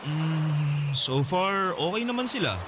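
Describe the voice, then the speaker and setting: Easygoing, flirty Filipino guy, lively intonation. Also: on the high side, slow pace, noisy background. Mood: casual, candid. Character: easygoing, flirty male call center agent, cafe regular. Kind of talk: naturalistic Tagalog radio drama acting.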